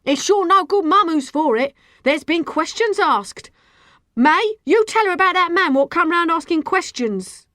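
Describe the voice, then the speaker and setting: A woman's voice: high voice, lively intonation, fairly quick, hardly any pauses, bright, forceful tone, dry recording, studio quality, pitched above the performer's own voice. A woman voicing a fat, gossiping market woman in an audiobook, defensive, indignant and spiteful, with squealing, ungrammatical working-class speech. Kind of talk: audiobook character acting.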